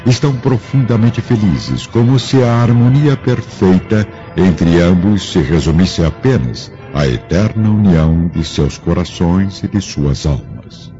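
A man's voice: low voice, lively intonation, measured pace, few pauses. A man with a Brazilian accent giving solemn, grandiloquent scripted narration for a radio drama. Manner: grandiloquent, theatrical. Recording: muffled radio broadcast with music. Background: clear background music.

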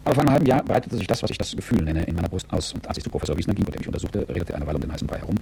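A low, quick-spoken man in a radio drama, a calculating and world-weary executive looking back on his life. His telling is articulate and reflective, with a gravelly edge. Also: lively intonation, background noise. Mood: reflective, sober. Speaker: narrator and lead character. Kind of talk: radio drama first-person narration.

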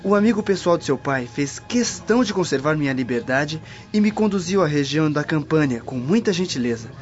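A man's voice: on the high side, animated, a little fast, faint music behind, lightly acted. A man playing a devout, courteous young man in a radio drama, earnest and formal. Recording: dull lo-fi radio drama recording.

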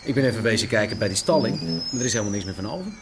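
Fast-talking cheerful errand man. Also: medium pitch, animated, creaky, background noise. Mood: brisk, chatty. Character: brisk, cheerful male underworld errand man. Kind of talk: radio drama acting.

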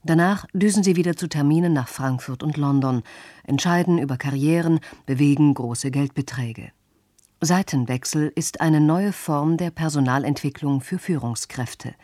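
Low, husky, mellow woman radio announcer. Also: no room sound, studio quality. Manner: measured, formal. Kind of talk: scripted announcement.

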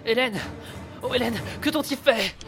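An actor playing a desperate, devoted brother and police officer in a French fantasy audio drama, agitated and pleading. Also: male voice, extremely high pitch, moderate pace. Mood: panicked. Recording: music under the voice.

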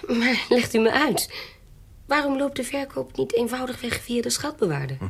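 An actress playing a shrewd, self-assured baroness in a radio drama, speaking formally, pointedly and insistently with a husky voice. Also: female voice, fairly high pitch, lively intonation, moderate pace, full character voice. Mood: probing. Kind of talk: Dutch radio drama acting.

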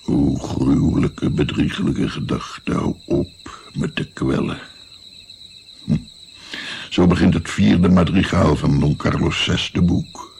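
Guilt ridden grandpa in a radio play, super gravelly old voice, slow and brooding, crickets in the background. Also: male voice, rumbling low, darkish tone, heavily acted. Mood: grave, haunted. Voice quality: gravelly, creaky. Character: weary, guilt-ridden 76-year-old Italian-born grandfather. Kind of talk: radio drama acting. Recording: faint noise, night crickets. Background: chirping crickets.

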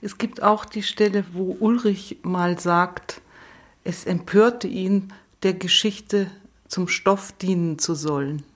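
A woman's voice: lowish voice, steady tone, slow pace. A woman interviewer in thoughtful conversation, putting questions and observations to her guest.

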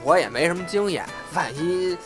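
A man's voice: sits high, expressive, moderate pace, music in the background. A man playing an easygoing, cheeky young watermelon vendor in a Chinese audio drama, with a folksy, forthright manner. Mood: keen.

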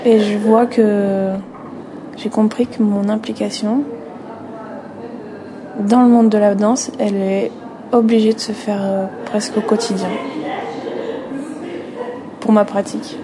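A woman giving reflective personal testimony in a deliberate way, with frequent pauses. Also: medium pitch, flat delivery, crowd noise. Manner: deliberate, pausing often. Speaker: dance student. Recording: noisy ambient background.

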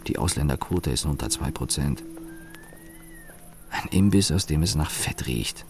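A cynical, weary male office engineer in a radio drama. His very low voice swings expressively through clipped, sardonic fragments of inner monologue, with faint music behind him. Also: lively intonation, a little slow, darkish tone, full character voice. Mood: sardonic, observant. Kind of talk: radio play first-person monologue.

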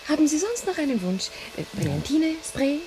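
An actress playing a polite, uneasy widow who works in a salon, courteous and restrained, in a German radio drama. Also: female voice, high-pitched, big pitch swings, fairly quick. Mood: businesslike. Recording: noisy ambience behind.

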